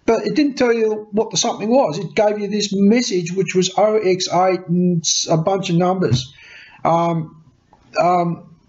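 A man's voice: sits high, unhurried. A middle-aged man with a cold, rambling casually to camera as a tech vlogger, repeating words as he explains, in a small cluttered home workshop. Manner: chatty, repeats words, animated hand gestures. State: has a cold. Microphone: webcam at desk. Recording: dull lo-fi webcam audio.

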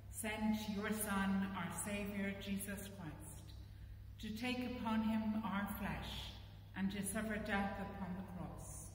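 A middle-aged woman priest leading worship in a measured, solemn, formal reading style, phrase by phrase. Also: medium pitch. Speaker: priest leading a church service. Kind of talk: formal liturgical prayer reading. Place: inside a stone church. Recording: very echoey church, distant mic, some noise.

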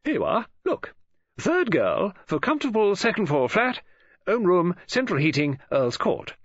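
A man voicing an excitable, scatterbrained middle-aged woman novelist in an audiobook, gushing and rambling in a flustered way. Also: high voice, a little slow, no echo, highs cut off, voice pushed up, exaggerated voice. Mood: brisk, eager. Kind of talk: audiobook character dialogue.

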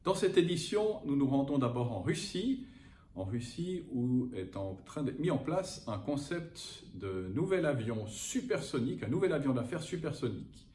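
A middle-aged man hosting a travel news show, introducing segments with lively emphasis from a room overlooking an airport. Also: mid-range voice, normal speed. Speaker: host. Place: indoors by a window over an airport. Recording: roomy, from a distance, faint noise.

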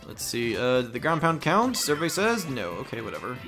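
A man's voice: mid-range voice, big pitch swings, quick pace, fairly bright tone, soft background music. A man hosting a let's play, giving rambling, offhand commentary in a casual style.

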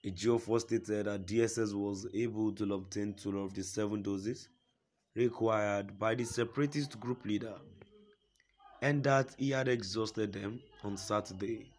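A man reading a news report aloud in a steady, formal way, quoting a statement at length. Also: fairly low pitch, a little slow, a little hiss or hum. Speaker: news reader.

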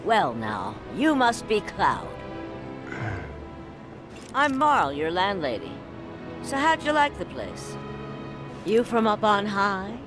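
A woman's voice: mid-range voice, wildly swooping pitch, takes it slowly, pauses often. A woman playing a shrewd older landlady in a video game, with a folksy, knowing, teasing way of talking. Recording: game music behind the voice.

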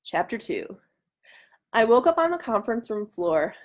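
A woman's voice: a little high, very animated, normal speed. Give the voice of a woman in her thirties, congested and nasal with a cold. She reads a novel aloud to a live audience online and chats casually between passages. It is picked up by a webcam at home. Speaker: author hosting a live reading. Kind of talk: live book reading with casual chat. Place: small room at home, indoors. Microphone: webcam at arm's length.

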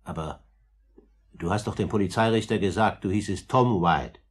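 An actor playing a kindly old gentleman in a 1950s German radio play, speaking in a gentle, fatherly, soothing way. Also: fairly low pitch, moderate pace. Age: older. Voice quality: husky, breathy.

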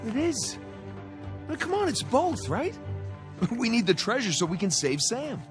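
A man's voice: very high voice, wildly swooping pitch, a little slow, faint music behind, full character voice. A man in his thirties acting an eager, cocky younger treasure hunter in a cinematic video game, with casual, persuasive delivery. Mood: urgent, defensive. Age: 30s.

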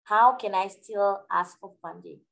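A woman with a French accent explains business topics to a live webinar audience, pausing with plenty of fillers. Her voice sits at an ordinary middle pitch, picked up by a webcam on a video call. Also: creaky, fairly bright tone. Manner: explanatory, hesitant fillers, friendly. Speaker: webinar presenter.

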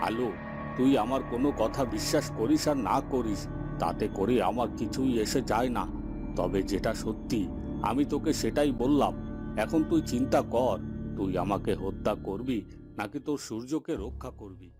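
Man voicing cold villain, medium-pitched, defiant, taunting, cartoon fairytale, background music. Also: normal speed, natural voice. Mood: coldly taunting. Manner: indifferent, taunting. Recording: music behind the voice.

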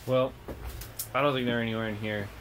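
A man's voice: mid-range voice, fairly even intonation, fairly quick, very noisy. A young man casually vlogging, grumbling to the camera while searching a cluttered shed and heading out in the rain, filmed at arm's length. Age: young adult. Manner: laid-back, grumbling asides to camera. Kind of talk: casual vlog chat. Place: cluttered storage shed, then outdoors in rain. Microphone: handheld camera at arm's length. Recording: loud background music.

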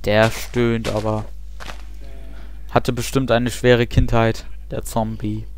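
A man's voice: fairly low pitch, flat delivery, slow, a little mellow, a little hiss or hum. A young man chatting casually and rambling with self-mocking asides while he plays a game. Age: young adult. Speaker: let's play host. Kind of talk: casual gameplay commentary.

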